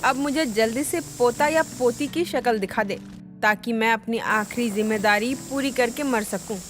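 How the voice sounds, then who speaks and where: A woman playing a trusting old mother-in-law, gentle and approving, in a dramatised family story. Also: a little high, moderate pace, natural voice. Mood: fond, trusting.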